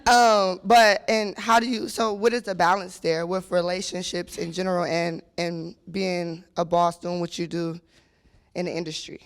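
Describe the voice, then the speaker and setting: A hoarse woman from the audience asking a long, chatty question. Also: lowish voice, flat delivery, moderate pace. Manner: chatty, rambling.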